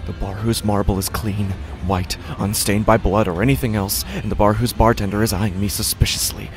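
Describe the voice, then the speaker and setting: A man playing a desperate, unraveling gambler, narrating a horror audio drama in the first person with halting, haunted, dramatic delivery. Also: lowish voice, steady tone, over music, close to an everyday voice. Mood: rising panic.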